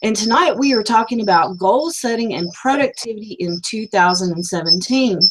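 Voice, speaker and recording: A woman's voice: mid-range voice, lively intonation, moderate pace, noisy background. A woman hosting a live business stream, rambling casually with many fillers and losing her voice. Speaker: host.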